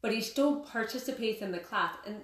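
A woman's voice: a little high, animated, fairly quick, recorded from a distance. A woman in her late thirties who teaches martial arts, telling her story in an earnest, candid way as an interview guest. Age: 30s. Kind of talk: interview chat. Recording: roomy sound, voice off-mic.

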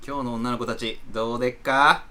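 A man's voice: mid-range voice, steady tone, brisk, pushed and bright, lightly acted. A man playing a sly, fawning fixer in a comedy radio drama, wheedling with a Kansai accent. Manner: obsequious, wheedling merchant-like talk. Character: sly, fawning male fixer who procures party girls.

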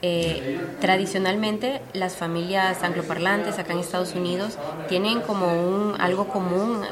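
A woman from Honduras speaks in a somewhat low voice, explaining her publishing work in an earnest, steady way. A busy fair crowd chatters loudly behind her, and the talk is a relaxed interview. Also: normal speed. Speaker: publisher, guest. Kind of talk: conversational interview. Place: book fair.

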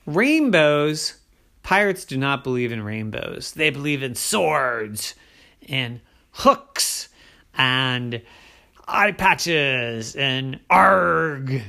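A gruff, boastful pirate captain from a bedtime story, as a man puts him on. He drags out his words slowly and heavily, with plenty of rise and fall in his pitch. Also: animated, pushed and bright, full character voice. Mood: scoffing. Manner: gruff, drawn-out pirate talk.

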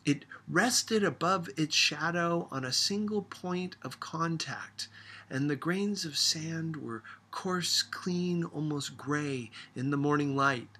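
Male reader for literary audiobook. Husky edge, bright tone, measured scripted narration. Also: a little high.

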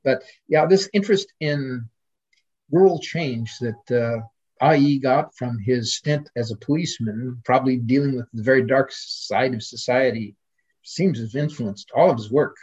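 Male academic guest, husky mid-pitched voice, discursive and hesitant, dull lo-fi recording. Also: normal speed, darkish tone. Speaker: podcast guest, literary scholar. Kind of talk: scholarly conversation.

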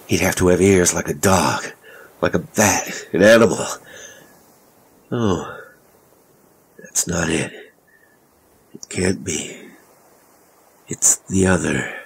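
A man's voice: deep voice, expressive, slow, gravelly, pauses often, slightly rough, full character voice. A man voicing an agitated, appalled father with an intense manner in a dramatic story reading. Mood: angry, agitated. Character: agitated, appalled father, growing half insane. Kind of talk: dramatic audiobook character acting.

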